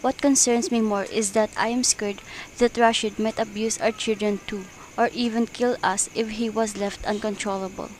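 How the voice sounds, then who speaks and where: A woman's voice: mid-range voice, fairly even intonation, normal speed, few pauses, slight background noise. A young woman acting earnest lines in an amateur student radio play. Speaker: student voice actor.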